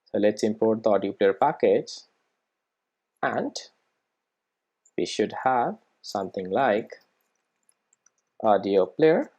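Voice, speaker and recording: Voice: mid-range voice, vocal fry, darkish tone. A tutorial presenter with a mid-range voice walks through programming steps in an informal way. The delivery sounds like thinking aloud while working, with short questions tossed to the listener to check they are following. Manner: checks in with rhetorical questions and tag "right?".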